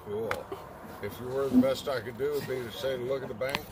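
A man's voice: mid-range voice, steady tone, fairly quick. A man operating a tourist train, giving folksy, joking commentary to the riders outdoors. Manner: folksy, wry, chatty with riders. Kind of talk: joking tour guide patter. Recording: distant voice over loud engine noise.

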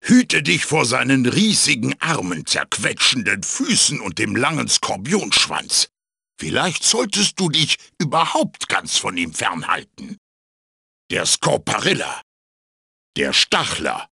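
A male actor voicing a wise, quirky wooden mask spirit in a video game, raspy, theatrical and wry as he guides the listener. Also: mid-range voice, big pitch swings, slow pace, bright, forceful tone, no room sound, studio quality, full character voice. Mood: theatrical, ominous.

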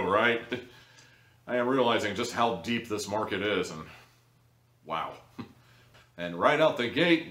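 A man with a fairly low voice talks casually to camera as a reviewer. He is blunt and sarcastic, with an exasperated edge. The living room adds clear echo to his voice. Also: fairly bright tone. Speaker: audio drama reviewer, host. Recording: echoey room, distant microphone.

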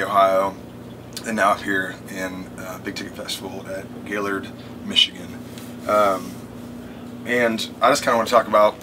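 A man's voice: fairly low pitch, background noise. A man in his thirties losing his voice, talking earnestly into a phone on a tour bus after a show. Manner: earnest, rambling, with fillers and restarts. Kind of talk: casual livestream talk. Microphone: phone held at arm's length.

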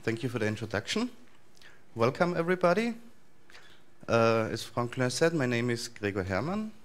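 A man in his thirties with an Austrian accent, giving a relaxed, loosely structured talk to a conference audience in a large lecture hall. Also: medium pitch, moderate pace. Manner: relaxed, thinking aloud with frequent fillers and restarts. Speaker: conference speaker.

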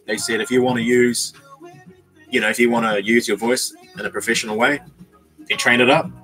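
A young man in a small bedroom, casually chatting with his viewers on a livestream and reading out their comments, slangy and relaxed, calling people bro and man. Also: medium pitch, a little fast, faint music behind, poor audio. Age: young adult. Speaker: YouTuber reading live chat.

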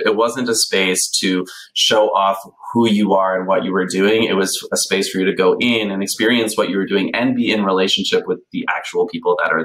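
A man in his thirties, a podcast guest telling his story in a relaxed, animated way, with plenty of ums, on a video call from a small bright room. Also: low voice, poor audio. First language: English. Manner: animated, gesturing a lot, many ums. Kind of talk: casual conversational storytelling. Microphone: headphones on a video call.

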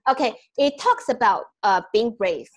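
A fairly high-voiced woman in her thirties talks casually over a video call from a room at home. Her nose is slightly stuffy and she laughs often between her sentences. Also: expressive, moderate pace. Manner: laughs often, mixes in Mandarin. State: congested nose. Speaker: TV presenter, guest. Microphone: video call, earphone mic.

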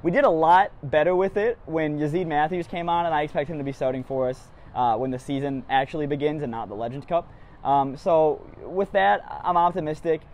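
A man's voice: a little high, a little fast, a little mellow. A young man giving post-match soccer commentary to camera as a fan vlogger, recorded outside a stadium on a lapel mic. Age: young adult. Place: outdoors on a street outside a stadium. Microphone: small lapel mic clipped to shirt. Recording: outdoor street ambience with clear background noise.

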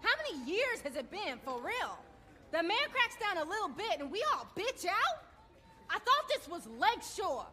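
An actress playing a bold, commanding crew leader who rallies racers with a challenging, projected delivery in a video game. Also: female voice, very high-pitched, sing-song, moderate pace, a little hiss or hum, exaggerated voice. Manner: forceful, challenging, projecting to a crowd. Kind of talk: video game voice acting, rousing speech.

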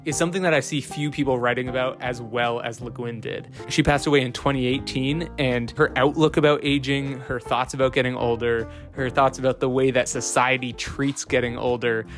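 A man's voice: medium pitch, fairly even intonation, brisk, hardly any pauses, a bit bright, faint music behind. Earnest young man reviewing books. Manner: earnest, enthusiastic, with frequent fillers and restarts. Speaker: book channel host. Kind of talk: casual book review vlog. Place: small home room with a map on the wall. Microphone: boom-mounted studio mic close in front.

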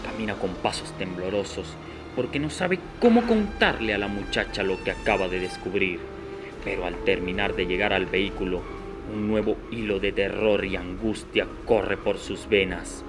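A man's voice: low-pitched, moderate pace. A man giving dramatic scripted narration for a radio soap opera. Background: prominent music.

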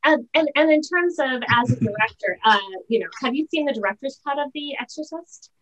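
A middle-aged woman hosting a casual video-call interview, speaking in halting, emphatic phrases. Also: high-pitched, animated, fairly bright tone, rough recording. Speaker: host, interviewer. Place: indoor home room, on a video call.

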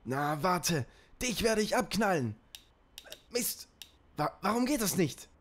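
A man's voice: sits high, very animated, slow pace, fairly bright tone, heavily acted. A man playing a bumbling, whiny, angry villain in a comedy audio play. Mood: angry, frustrated.

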